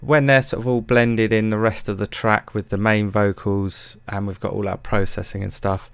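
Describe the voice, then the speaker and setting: A man's voice: on the low side, flat delivery, normal speed, gravelly, clear, resonant tone, no echo. A man narrating a music production tutorial in a casual, hesitant, self-deprecating way.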